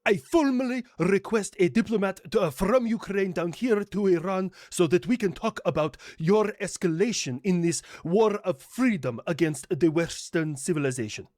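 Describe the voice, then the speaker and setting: A man playing a blustering national leader in a comedic political roleplay, halting and stumbling over his words. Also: sits high, a little mellow, no room sound, studio quality, close to an everyday voice. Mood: threatening.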